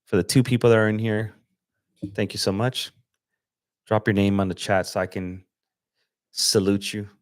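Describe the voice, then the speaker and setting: A young man from Los Angeles chatting casually on a livestream as he edits photos, relaxed and slangy, thinking aloud with fillers. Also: on the low side, moderate pace, long pauses, no room sound, clean studio sound. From Los Angeles, USA. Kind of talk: casual livestream chat while editing. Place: home desk setup.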